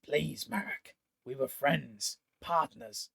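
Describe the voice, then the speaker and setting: A man's voice: medium pitch, slow pace, vocal fry, bright, forceful tone, exaggerated voice. A man voicing a jealous, cruel four-armed male Vedalken hunter who gloats and then begs, in an audiobook reading. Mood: pleading. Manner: scornful, self-pitying, pleading when threatened.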